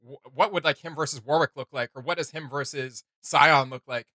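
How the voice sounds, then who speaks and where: Giggly, bright-voiced man. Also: on the high side, steady tone, fairly quick, no room sound. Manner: joking, giggly, rambling with filler words. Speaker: reaction video host. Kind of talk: casual reaction chat.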